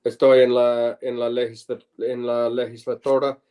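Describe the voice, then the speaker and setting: A middle-aged man, a lawyer and former legislator, answers an interviewer's questions at an ordinary pitch. He is a native English speaker working in a second language, so he restarts and hunts for words as he goes. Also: flat delivery, mellow. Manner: halting, searching for words in Spanish. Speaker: lawyer and former state senator, guest.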